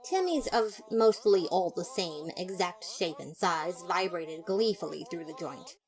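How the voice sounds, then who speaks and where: Animated woman narrating a story. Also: on the low side, a little slow. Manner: dramatic, expressive. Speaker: podfic narrator. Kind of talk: scripted first-person story narration.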